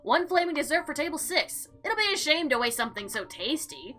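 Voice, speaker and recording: Woman, animated intonation, bright voice, casual gameplay commentary, expressive story reading, faint music behind. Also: high voice, a little fast. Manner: chatty, expressive, theatrical when reading. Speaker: game player and narrator. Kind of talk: casual gameplay commentary mixed with reading visual novel text aloud. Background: background music.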